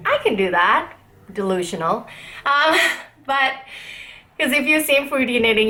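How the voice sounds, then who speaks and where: Young woman from Nepal, podcast host; bright tone, casual and giggly, on a camera mic at home. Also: mid-range voice. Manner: chatty, giggly, self-deprecating. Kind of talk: casual video podcast chat.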